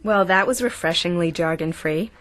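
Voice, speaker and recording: A woman's voice: lowish voice, moderate pace, a bit bright, no echo, lightly acted. A woman playing a poised film actress who co-hosts a talk show, in an audio drama. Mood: polished hosting. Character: poised female film actress turned co-host.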